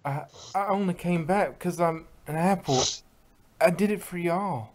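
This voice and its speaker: A woman's voice: on the low side, unhurried, a little mellow, close to an everyday voice. A woman voicing an honest young farm mare, stammering and pleading, in a fan reading.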